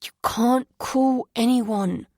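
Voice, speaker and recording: A woman voicing a frightened, injured teenage boy who talks in a hoarse, halting way. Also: high voice, animated, no room sound, studio quality, pitched above the performer's own voice. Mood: insistent. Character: hurt, frightened teenage boy, weak and shaken.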